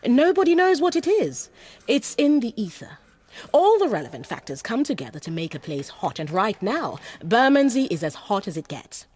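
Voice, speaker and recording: Poised, husky-voiced saleswoman. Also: fairly high pitch, big pitch swings, normal speed, a little mellow. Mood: persuasive. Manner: poised, persuasive, formally courteous. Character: smooth, assured female gallery saleswoman pitching a property investment. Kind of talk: radio drama acting.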